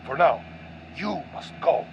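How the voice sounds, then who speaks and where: Guy playing a devoted dad pilot in a radio drama, kinda high voice, heartfelt, bit dull sounding recording. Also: lively intonation, slow pace. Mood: tender, resolute. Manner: earnest, dramatic, tender. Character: brave, devoted father and proud aircraft pilot. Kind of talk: audio drama acting. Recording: muffled, faint vehicle rumble.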